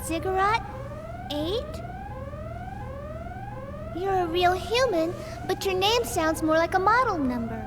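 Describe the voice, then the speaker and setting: A woman voicing a timid, trusting little girl android in a video game, soft and hesitant in her delivery. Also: very high voice, sing-song, slow, pauses often, exaggerated voice. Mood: playful, friendly. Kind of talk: English video game dub acting. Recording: roomy echo, clear background noise.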